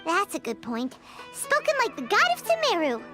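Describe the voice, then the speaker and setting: A woman voicing a tiny, childlike, cheeky fairy companion in a video game, with a squeaky, bubbly delivery. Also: wildly swooping pitch, moderate pace, over music, full character voice. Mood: curious. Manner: speaks of herself in third person, bubbly. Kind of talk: video game character acting.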